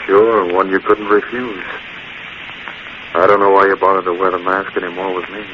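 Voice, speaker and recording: An actor playing a tough, slangy, cocky American racketeer boss in a 1940s radio drama. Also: male voice, low voice, flat delivery, moderate pace, natural voice. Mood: cocky, bargaining. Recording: muffled, noisy 1940s radio recording.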